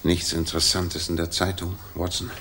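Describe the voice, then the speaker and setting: An actor playing a restless, sharp-minded gentleman detective, theatrical and articulate, in a 1960s radio drama. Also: deep voice, monotone, moderate pace, slight background noise. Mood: bored, restless.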